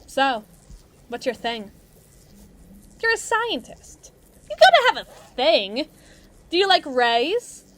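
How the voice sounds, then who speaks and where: Woman acting a brash, high-pitched villain henchwoman. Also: sing-song, takes it slowly, pauses often, noisy background, heavily acted. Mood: needling, condescending. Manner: sarcastic, theatrical, mocking, slangy tough talk. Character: brash, mean female villain henchwoman, muscle for evil agency. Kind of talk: acted monologue roleplay addressed to listener.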